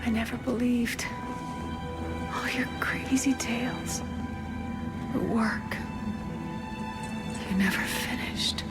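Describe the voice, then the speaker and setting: A grieving but determined young heroine in a fantasy game. She speaks slowly and very evenly in a hushed, sorrowful way, with loud music behind her. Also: a little high, steady tone, whispered. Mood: hushed grief. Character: grieving, resolute young woman, a loyal niece. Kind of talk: cinematic video game monologue.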